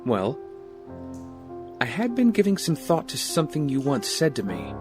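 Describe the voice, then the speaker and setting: An actor playing a weary, guarded castaway with a secret life, speaking in a measured, understated way. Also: male voice, fairly high pitch, huge pitch swings, music in the background. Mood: relaxed, fond. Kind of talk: audio drama acting.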